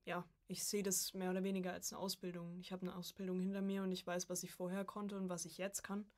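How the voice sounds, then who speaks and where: A woman's voice: on the low side, monotone, quick pace, darkish tone. A young woman chatting casually as a guest on a podcast, a student of voice acting.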